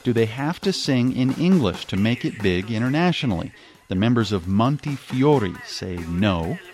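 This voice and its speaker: A man narrating a radio news report in a measured, scripted delivery. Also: medium pitch, animated, a little mellow, faint music behind.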